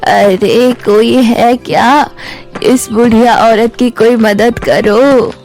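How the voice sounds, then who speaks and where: A woman voicing a frail old woman in a cartoon fairy tale, pleading in a slow, drawn-out way. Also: on the high side, fairly even intonation, darkish tone, faint music behind. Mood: weak, pleading.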